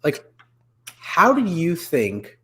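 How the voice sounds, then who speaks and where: A man in his forties hosting a casual panel discussion over a video call, talking in a halting, meandering way and often correcting himself. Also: fairly high pitch, wildly swooping pitch, pushed and bright. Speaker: host. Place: small room at home, video call.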